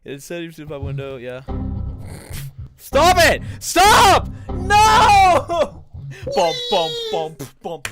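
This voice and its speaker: A young man hosting a livestream, reading aloud and reacting in an excitable, theatrical, jokey way. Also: very high voice, slow, music in the background. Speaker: livestream host.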